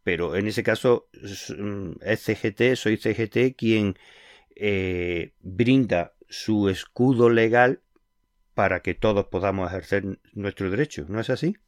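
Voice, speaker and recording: Low-voiced earnest radio host. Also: male voice, unhurried, dry recording, clean studio sound. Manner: earnest, explanatory, uses concrete examples. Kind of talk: conversational radio interview.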